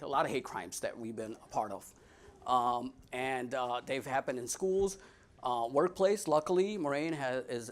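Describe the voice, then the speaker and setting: A young man with a Midwestern American accent giving a casual, joking slide presentation to an audience, with frequent fillers and restarts. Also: mid-range voice. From United States. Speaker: guest speaker.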